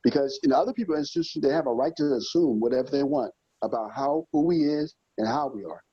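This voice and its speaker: A man's voice: mid-range voice, quick pace, husky, dry recording, dull audio. A man hosting a podcast interview, speaking to the listening audience and steering the questions. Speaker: host.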